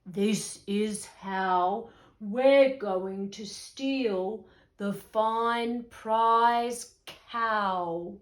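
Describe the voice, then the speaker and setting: A woman playing bumbling male thieves in a children's story, plotting in deliberate, conspiratorial rhyme. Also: mid-range voice, roomy sound, low-quality recording. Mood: scheming. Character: two scheming, bumbling male cattle thieves.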